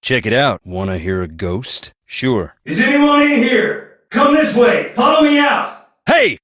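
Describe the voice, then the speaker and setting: A man's voice: sits high, lively intonation, a little slow, fairly bright tone, no echo, clean studio sound, full character voice. An actor playing a folksy, wry Southern man who hunts ghosts, speaking with a Southern US accent and a drawl in a video game. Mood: alarmed, calling out. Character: folksy Southern ghost hunter, wry, haunted by family losses. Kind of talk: video game character dialogue.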